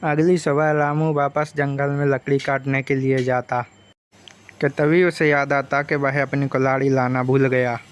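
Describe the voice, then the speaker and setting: A man's voice: medium pitch, level tone, measured pace, clear, resonant tone. A man reading a scripted folk tale as the narrator. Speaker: story narrator.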